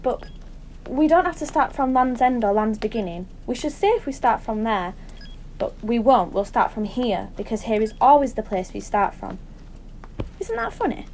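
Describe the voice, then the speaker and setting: An actress playing an intense, excitable woman who rambles earnestly and seeks agreement in an audio drama. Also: fairly high pitch, poor audio. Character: intense, excitable woman musing in tangled associations. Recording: faint background noise.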